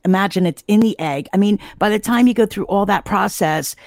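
A woman with a medium-pitched voice talks as a panelist on an online call. She chats casually, and the sound is dry with little room echo. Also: fairly quick. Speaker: talk show panelist. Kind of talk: casual chat. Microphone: remote internet call.

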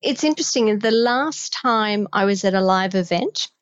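A woman's voice: mid-range voice, animated, moderate pace, clean tone, no echo, dull audio. A woman with an Australian accent, a guest on a podcast, giving emphatic, direct advice on health in midlife. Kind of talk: podcast conversation.

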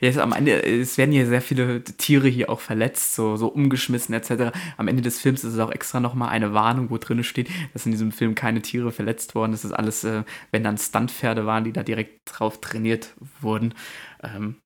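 A man's voice: mid-range voice, level tone, brisk. A man co-hosting a German film podcast, talking casually and hesitantly with frequent fillers and restarts. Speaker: podcast co-host.

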